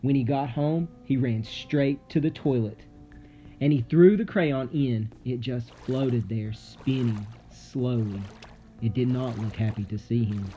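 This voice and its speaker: A man reading a children's story aloud dramatically, with suspenseful, theatrical delivery and deliberate pauses. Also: mid-range voice, warm tone. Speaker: storybook narrator. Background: music.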